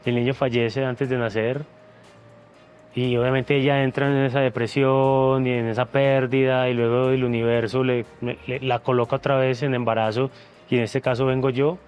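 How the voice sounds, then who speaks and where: A man's voice: medium pitch, flat delivery, normal speed, a little mellow, clear, resonant tone, soft background music. A man, speaking in an emotional interview in an earnest, reflective way and repeating words as he searches for them. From Venezuela, raised in Colombia. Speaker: interview guest, former reality show contestant.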